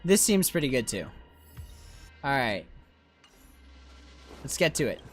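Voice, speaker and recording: A game streamer doing casual, excitable live commentary in slangy talk peppered with bro and dude. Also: medium pitch, takes it slowly, soft background music.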